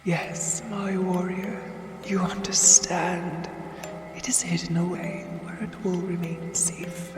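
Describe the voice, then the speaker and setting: A woman playing a menacing undead emperor in an audio drama, with a breathy whisper and a slow, commanding manner. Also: lowish voice, flat delivery, over music. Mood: sinister command. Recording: distant whisper in echoing hall.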